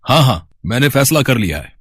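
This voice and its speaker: Man playing a glib, lively village landowner. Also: mid-range voice, a little fast, slightly rough, dry recording, clean studio sound. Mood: affable. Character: smooth-talking, two-faced village landowner. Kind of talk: acted cartoon story dialogue.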